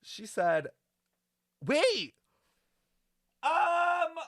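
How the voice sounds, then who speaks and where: A man giving casual, rambling reaction commentary in a chatty, slangy, excitable way. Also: very high voice, extremely slow, no echo, studio quality. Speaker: reaction streamer.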